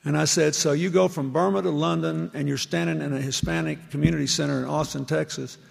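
A man's voice: a little high, fairly even intonation, a little fast, creaky, breathy edge. A man giving a public lecture to university students, telling anecdotes in an earnest, conversational way. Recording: echoey large hall.

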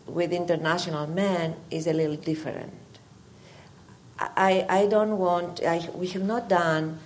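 A woman's voice: on the low side, a little mellow, slight background noise. A woman from Greece with a Greek accent, a university faculty member commenting in careful, hesitant phrases at a public discussion.

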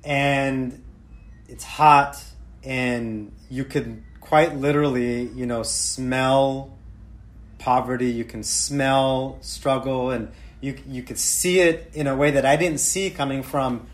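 An American man telling a personal story on a panel in a halting, reflective way. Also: mid-range voice, fairly even intonation. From United States.

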